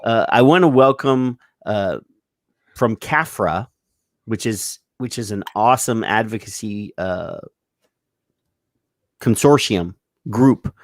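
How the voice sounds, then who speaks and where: A man's voice: on the low side, animated, slow, pauses often, no room sound, clean studio sound. A man hosting a casual podcast, rambling and joking with many restarts. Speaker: show host.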